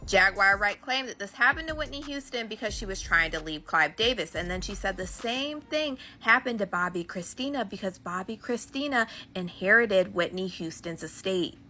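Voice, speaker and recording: Chatty gossip girl, woman, really bright voice, emphatic, little music under. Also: medium pitch, animated, normal speed, hardly any pauses. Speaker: celebrity gossip commentator. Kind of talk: casual gossip commentary.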